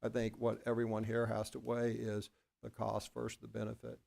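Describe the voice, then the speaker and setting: A man from Oklahoma, a school board member, talking at a board work session in a rambling, halting way with many restarts and a self-deprecating manner. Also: lowish voice, monotone, gravelly, darkish tone. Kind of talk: board meeting discussion.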